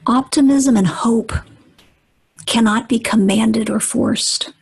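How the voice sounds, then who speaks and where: Breathy, husky woman guiding meditation slowly. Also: mid-range voice, low-quality recording. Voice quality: breathy, husky, often near whisper. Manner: gentle, deliberate instruction with long pauses. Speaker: meditation guide. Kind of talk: guided meditation.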